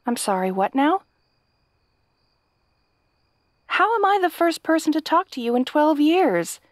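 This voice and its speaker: A kind, hapless young princess played by a woman speaks in a fairly high voice, close and dry. She is timid but brave, chatty and self-deprecating, and stammers nervously as she talks straight to the listener in a fantasy audio roleplay. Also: no echo. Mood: puzzled, curious. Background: night sounds with crickets.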